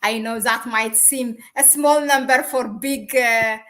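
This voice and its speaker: A woman from Ethiopia hosting an interview in English, her first language being Amharic, talking hesitantly with many pauses. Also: a little high, fairly even intonation, a bit bright. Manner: hesitant, many fillers and restarts. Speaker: host.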